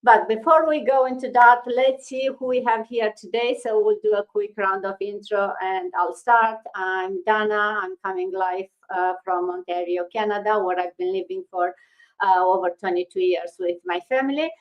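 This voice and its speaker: A woman's voice: medium pitch, moderate pace, lo-fi sound. Romanian-accented woman hosting a live stream. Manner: hesitant, many fillers. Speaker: host. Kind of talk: live-stream panel talk.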